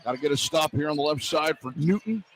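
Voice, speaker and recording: High-pitched male play-by-play announcer, noisy arena. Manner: clipped, rapid-fire phrases that build in urgency. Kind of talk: live basketball play-by-play commentary. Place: courtside in an indoor arena. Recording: live arena broadcast with clear background noise. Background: noisy arena ambience.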